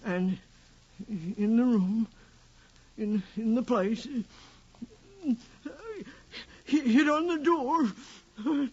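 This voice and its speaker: On a dull 1950s radio recording, a simple, timid little man fumbles through his words. The voice is husky and high for a man, slow and stammering, with childlike repetition. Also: heavily acted. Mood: anxious, confused. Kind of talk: 1950s radio drama acting.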